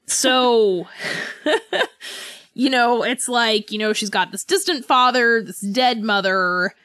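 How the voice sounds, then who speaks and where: A woman co-hosting a podcast in casual book club chat, giggly and rambling in an animated fan's manner. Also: mid-range voice, no echo. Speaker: podcast co-host.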